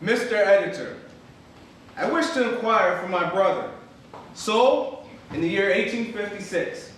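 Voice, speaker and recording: A man's voice: high-pitched, lively intonation, unhurried, fairly bright tone. A man giving a dramatic reading as an earnest person searching for a lost brother. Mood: earnest, urgent. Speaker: stage reader. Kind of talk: dramatic reading of historical letters. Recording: very echoey hall, distant, faint noise.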